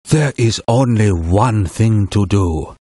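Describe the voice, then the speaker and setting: Slow, husky, wise old lama. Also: male voice, lowish voice, lively intonation, dark tone, dry recording, studio quality, heavily acted. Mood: solemn counsel. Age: older. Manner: measured, grave, cryptic. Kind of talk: children's educational game acting.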